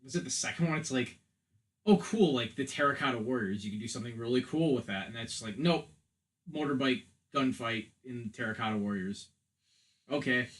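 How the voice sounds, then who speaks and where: A man's voice: medium pitch, brisk, fairly bright tone. A man in a casual podcast discussion, talking in a rambling way with "like" sprinkled all through. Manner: rambling, peppered with "like". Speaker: podcast co-host.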